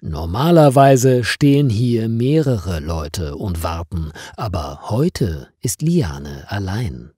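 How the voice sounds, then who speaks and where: Slow, mellow male storyteller with lively intonation. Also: lowish voice, breathy edge, no room sound, studio quality. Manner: deliberate, expressive reading. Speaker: narrator of stories for language learners. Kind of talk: slow, clearly paced scripted story narration.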